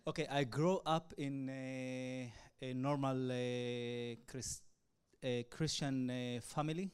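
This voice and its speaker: A man from Israel, a native Arabic speaker, telling his personal testimony in hesitant, halting English full of fillers. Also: mid-range voice, fairly even intonation, clean tone. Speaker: evangelist.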